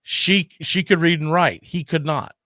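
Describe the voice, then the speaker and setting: A man's voice: fairly high pitch, steady tone, a little slow, sharp tone, no echo, studio quality. A man hosting a sports talk show, telling baseball history with an emphatic, storytelling delivery.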